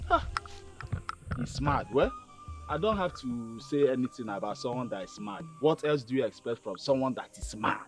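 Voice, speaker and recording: An actor playing Udoka, a young man gone mad who mutters to himself and then shouts at his mother. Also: medium pitch, expressive, normal speed, faint music behind, natural voice. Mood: muttering.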